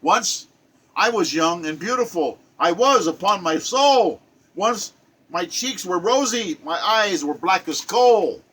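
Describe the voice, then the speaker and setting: Man in his 70s doing a weary, proud old woman of seventy, rhymed verse lament, fairly high, bright and forceful. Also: expressive, takes it slowly, breathy edge, exaggerated voice. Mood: plaintive. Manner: declaiming rhymed verse in first person.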